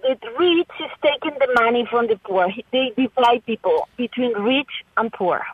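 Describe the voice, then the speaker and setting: A woman's voice: on the high side, animated, moderate pace, husky, no echo. Earnest woman calling in. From Chile. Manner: earnest, heartfelt. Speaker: caller. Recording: muffled phone line.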